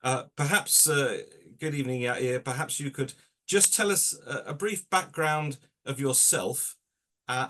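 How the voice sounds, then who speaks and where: A man's voice: mid-range voice, a bit bright. Hesitant man chairing an online talk. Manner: polite, hesitant, many pauses. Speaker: event chair and host. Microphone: video call.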